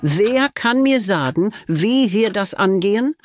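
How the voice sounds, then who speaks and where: A woman's voice: mid-range voice, lively intonation, slow pace, a little hiss or hum. A woman voicing a strict female math teacher in a children's audio drama, speaking in a firm, instructive way.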